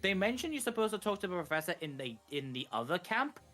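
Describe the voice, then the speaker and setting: A man's voice: high voice, sing-song, fast talker, pushed and bright, faint music behind. A man co-commentating on a video game, casually explaining details as they come.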